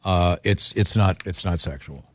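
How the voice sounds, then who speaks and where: A man hosting a radio talk show, bantering casually and reading out listener questions in a halting, teasing way. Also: deep voice, no room sound.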